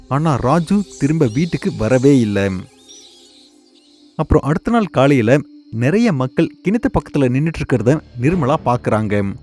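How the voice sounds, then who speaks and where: Expressive male storyteller. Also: mid-range voice. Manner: dramatic, measured storytelling. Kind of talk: scripted cartoon story narration.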